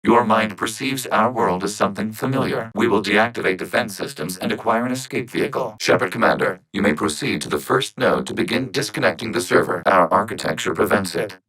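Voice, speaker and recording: A man voicing a calm, logical networked robot intelligence in a video game, speaking in formal, precise, stilted phrases through synthetic processing. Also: lowish voice, brisk, few pauses, poor audio. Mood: measured, matter-of-fact. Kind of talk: scripted video game character dialogue.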